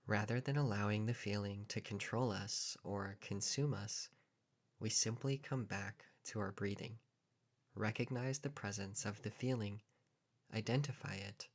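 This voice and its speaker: A man leading a guided meditation in a calm, measured manner, leaving pauses between short phrases. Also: fairly low pitch.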